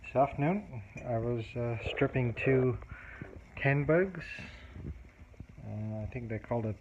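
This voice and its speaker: A man casually demonstrating a tape recorder repair at his workbench, explaining step by step in a halting way, with many fillers and restarts. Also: mid-range voice, lively intonation. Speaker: hobbyist repairer. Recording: dull, lo-fi audio with clear background noise and clicks.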